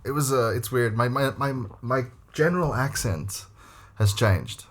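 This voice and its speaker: A man's voice: mid-range voice, measured pace. A man from South Africa whose accent mixes South African and American, talking in an easygoing way as a podcast guest. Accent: South African mixed with American. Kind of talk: casual conversation.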